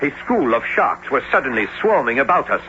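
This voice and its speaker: An actor playing a learned, sober doctor and professor who recounts a sea voyage in formal, measured radio drama style. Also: male voice, medium pitch, sing-song, pushed and bright. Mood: urgent, dramatic. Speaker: narrator and character. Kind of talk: dramatic radio narration and acting. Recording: noisy, dull old radio drama recording.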